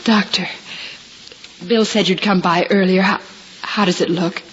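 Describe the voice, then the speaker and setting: A woman's voice: lowish voice, steady tone, a little slow, natural voice. Actress as a young blinded woman, reverberant old radio. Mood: fearful. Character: young woman patient blinded in an accident, proud, anguished. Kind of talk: 1950s radio drama acting. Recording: lo-fi 1950s radio recording, reverberant, hissy, clicks.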